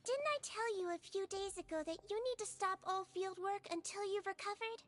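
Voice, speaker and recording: A woman voicing a small, cute, polite female nurse in a video game, gentle but shrewd, with childlike precision. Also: very high-pitched, a little fast. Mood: calm, probing.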